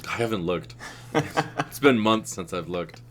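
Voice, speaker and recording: A man co-hosting a podcast in casual chat, sounding nasal as he gets over a cold, telling dry deadpan jokes and laughing often. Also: lowish voice, measured pace. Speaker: podcast co-host.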